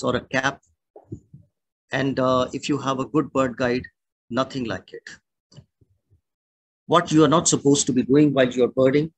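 A middle-aged man with an Indian accent, a naturalist giving a measured, explanatory talk over a video call. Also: mid-range voice, husky, no room sound, low-quality recording. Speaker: naturalist and birding guide. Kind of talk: online illustrated talk. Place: indoor room at home or office, on a video call. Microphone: video call microphone.

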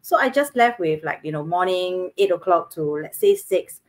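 A woman's voice: fairly low pitch, expressive, moderate pace. A woman in her thirties from Singapore with a Singaporean English accent, co-hosting from home over a video call and explaining things earnestly with lots of fillers. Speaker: co-host. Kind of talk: casual live-stream chat. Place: home living room, indoors, video call. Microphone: webcam on video call.

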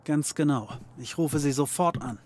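An actor playing a cocky, scheming occult detective in a comedy audio drama, wry and theatrical. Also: male voice, a little high, normal speed, a little mellow, lightly acted. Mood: grave, urgent. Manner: wry, theatrical, mock-patronising.

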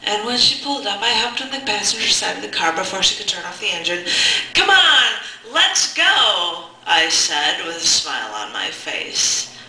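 A woman with a very bright, forceful voice reads a personal narrative aloud at an open mic. Her delivery is expressive and conversational, like storytelling. The room adds clear echo around her voice. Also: mid-range voice, moderate pace. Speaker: poet, open mic host. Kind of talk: spoken-word reading of a personal narrative. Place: indoor cafe open mic. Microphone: handheld or stand microphone held close. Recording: roomy, echoing live cafe sound.

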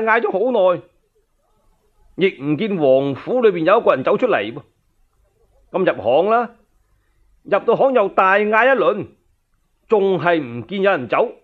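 Cantonese guy doing dramatic storytelling, very animated, highish voice, muffled old radio sound. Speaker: solo storyteller. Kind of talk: dramatic narration of a historical novel. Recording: dull lo-fi radio recording.

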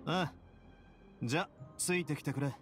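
A male actor playing a composed, confident prison boss in a Japanese game, speaking in a measured, dryly courteous way. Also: a little high, natural voice. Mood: casual, assured. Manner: measured, cool, casually self-assured. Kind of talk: Japanese anime game voice acting. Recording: studio voice over game music.

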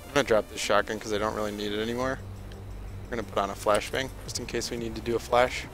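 A young man giving casual, playful and excitable live commentary while he plays a video game. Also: on the low side, quick pace, faint music behind, low-quality recording. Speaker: game streamer. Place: indoor room at a streaming desk.

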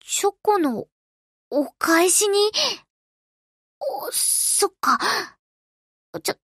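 A woman's voice: very high voice, expressive, very slow, fairly bright tone, dry recording, studio quality, full character voice. A woman voicing a cool, tomboyish young anime warship girl in an offhand, blunt game-acting style. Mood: bashful.

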